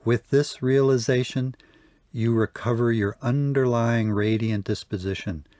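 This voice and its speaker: A man leading a guided yoga nidra meditation, speaking softly and calmly with long pauses. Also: lowish voice, no echo, studio quality. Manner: slow, soft, calm guiding with long pauses. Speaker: meditation teacher.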